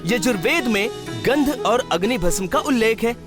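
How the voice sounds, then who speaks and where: Woman voicing a lively, high-pitched magical cartoon stone. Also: normal speed, over music, lightly acted. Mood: explaining. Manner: encouraging, exclamatory, explanatory. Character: cheerful round magical talking stone, wise playful teacher. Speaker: teacher guide to children. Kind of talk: animated educational cartoon dialogue.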